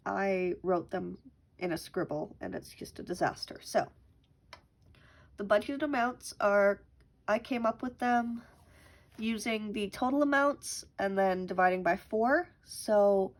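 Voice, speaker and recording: A woman's voice: medium pitch, unhurried. A young woman who is sick with a cough. She chats casually while counting cash aloud as host of a budgeting channel at home. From Canada. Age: young adult. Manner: chatty, counts money aloud.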